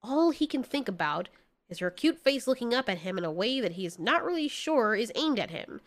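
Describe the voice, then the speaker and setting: A woman's voice: a little high, sing-song, fairly quick. A woman storyteller giving dramatic scripted narration in an informal, candid way.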